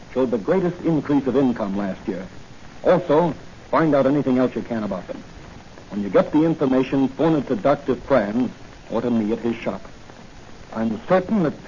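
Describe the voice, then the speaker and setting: An actor playing a confident, brisk police officer hero in a 1940s radio drama, decisive and matter-of-fact. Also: male voice, medium pitch, dark tone, lightly acted. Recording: muffled, noisy 1940 radio recording.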